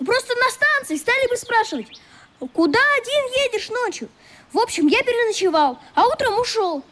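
Earnest, high-voiced schoolboy. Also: female voice, animated, normal speed, fairly bright tone. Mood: earnest, defensive. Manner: frank, boyish, slightly defensive. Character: earnest, proud, stubborn young schoolboy. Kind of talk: radio play acting.

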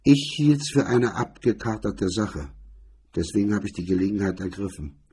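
A man voicing a grim, blunt, cynical gunman with a husky voice in a crime audiobook. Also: lowish voice, lively intonation, moderate pace, warm tone.

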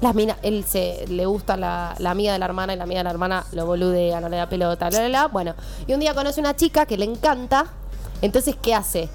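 A woman's voice: medium pitch, fast. Argentine woman in colloquial radio chat, music behind. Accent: Rioplatense Argentine Spanish. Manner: colloquial, slangy. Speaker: film columnist.

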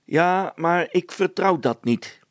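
A man voicing a suspicious, grumbling river skipper in an audiobook story, calling out loudly. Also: high-pitched, expressive, slow, no room sound, voice pushed up. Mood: distrustful, grumbling. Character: suspicious, gruff Dutch river barge skipper, shouting.

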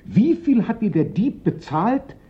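A theatrical, whining police prefect. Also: male voice, high voice, very animated, measured pace. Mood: offended, plaintive. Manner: theatrical, indignant, lamenting. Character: pompous, self-pitying police prefect, wounded and whining. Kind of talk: German radio drama acting. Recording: dull, lo-fi old radio recording.

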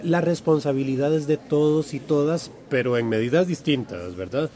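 A man's voice: on the high side, moderate pace, mellow, lightly acted. A man with a Central American accent playing an encouraging community workshop teacher in a radio drama, affirming answers and prompting with questions. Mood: encouraging, didactic. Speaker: workshop facilitator. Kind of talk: radio drama acting as a lively classroom lesson.

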